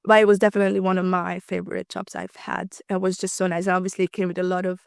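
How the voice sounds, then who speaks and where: A woman's voice: lowish voice, little pitch movement, quick pace, vocal fry, clean tone, no echo. Young woman from slovakia, hungarian is her first language, chatty guest, dry close sound. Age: 20s. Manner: chatty, with frequent ums and light laughs. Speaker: podcast guest. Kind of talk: casual conversational interview.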